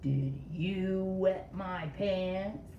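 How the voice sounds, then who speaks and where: A woman's voice: medium pitch, extremely slow. A woman voicing an indignant, self-important male storybook character who accuses his friends with sarcastic melodrama. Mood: sarcastic, accusing. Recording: roomy echo, dull sound, faint noise.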